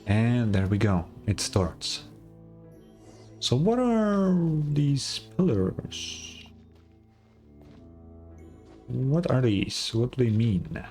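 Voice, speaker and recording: A man's voice: medium pitch, big pitch swings, drawn-out words, pauses often, darkish tone, faint music behind. A man giving casual, informal gameplay commentary, occasionally swearing. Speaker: gaming streamer reading game dialogue aloud. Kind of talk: casual gameplay commentary with dialogue read aloud.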